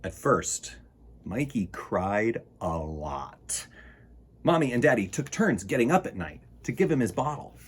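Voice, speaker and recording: A man's voice: fairly low pitch, very animated, unhurried, a little hiss or hum. A man reading a children's picture book aloud with playful, theatrical emphasis and casual asides. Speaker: children's storytime reader.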